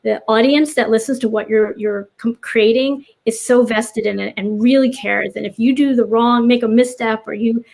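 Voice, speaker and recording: Higher-voiced woman, earnest interview guest. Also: fairly even intonation, moderate pace, gravelly, hardly any pauses, darkish tone. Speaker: writer, podcast guest. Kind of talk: casual interview chat.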